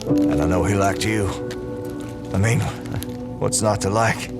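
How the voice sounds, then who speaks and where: An actor playing a steady, reassuring small-town sheriff in an audio drama, earnest and folksy. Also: male voice, fairly low pitch, unhurried. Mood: tender, hesitant. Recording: loud music behind the voice.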